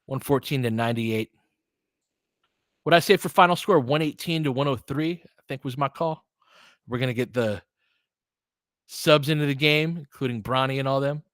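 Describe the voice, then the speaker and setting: A man's voice: medium pitch, normal speed, pauses often, no room sound, clean studio sound. A man doing informal basketball play-by-play as a host, chatting conversationally and thinking aloud. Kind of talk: casual basketball commentary mixed with chat replies.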